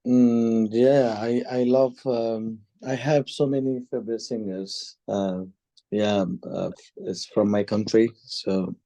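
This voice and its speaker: A man from Nepal answering speaking test questions, hesitant with many pauses and restarts. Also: fairly low pitch, fairly even intonation, dark tone, dry recording, poor audio. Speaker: test candidate. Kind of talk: mock speaking exam answers.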